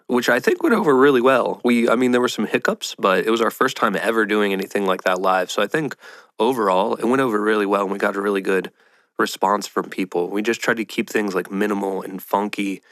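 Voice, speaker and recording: A man's voice: lowish voice, brisk, a bit bright, no echo. A man hosting a solo podcast in casual, informal chat, sounding nasal and congested from a head cold. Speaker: podcast host.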